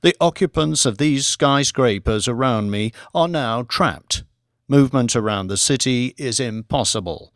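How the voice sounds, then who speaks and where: A man's voice: medium pitch, measured pace, no echo, clean studio sound, close to an everyday voice. A man voicing a male TV correspondent giving a formal, urgent live news report in an audiobook. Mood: grave, formal.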